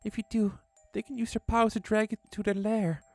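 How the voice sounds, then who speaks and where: A woman's voice: mid-range voice, little pitch movement, fairly quick, no echo, faint music behind, lightly acted. A woman playing a young village boy, a teasing older brother, with an emphatic way of talking in a horror story. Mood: scared.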